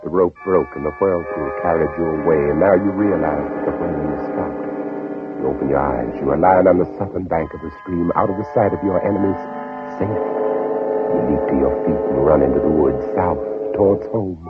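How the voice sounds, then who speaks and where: Male actor doing a haunted fugitive gentleman, low voice, intense and theatrical, muffled tinny old radio drama. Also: a little fast, over loud music, lightly acted. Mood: tense, weary. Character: hunted condemned Southern gentleman narrating his own flight, haunted, guilt-ridden. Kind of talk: 1950s radio drama, second-person narration.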